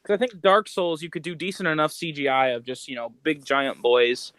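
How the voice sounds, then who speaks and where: A man co-hosting a podcast in casual, slangy chat, rambling as he thinks out loud. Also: on the high side, steady tone, a little fast, dry recording. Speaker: podcast co-host.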